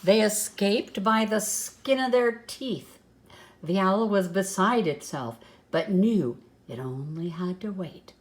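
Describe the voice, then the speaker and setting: Slow-reading older woman, grandmotherly storyteller. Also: medium pitch, lively intonation. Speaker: grandmother reading a picture book aloud. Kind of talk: storybook read-aloud with soft asides.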